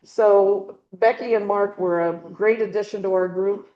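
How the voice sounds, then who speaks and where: A woman's voice: medium pitch, steady tone, measured pace, dull audio. A middle-aged woman giving a casual slide presentation to a club, rambling with frequent ums and restarts. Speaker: presenter at a birding club talk. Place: meeting room with a small audience. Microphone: handheld or clip mic with a dying battery.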